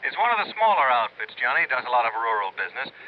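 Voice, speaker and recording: A man playing an office contact at an insurance clearinghouse, wry and easily baffled, in 1950s radio drama style. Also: medium pitch, very animated, fast talker, close to an everyday voice. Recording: muffled old radio broadcast with faint hiss.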